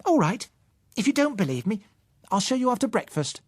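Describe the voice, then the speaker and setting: A man's voice: high-pitched, big pitch swings, brisk, dry recording, studio quality, voice pushed up. A man voicing an earnest, huffy young girl who explains things pointedly in an audiobook story. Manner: matter-of-fact, pointed explaining. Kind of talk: audiobook character acting.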